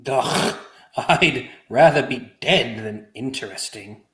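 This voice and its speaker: A man playing a stiff, dour, halting newcomer in a table read of a stage comedy. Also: mid-range voice, echoey room, background noise, lightly acted.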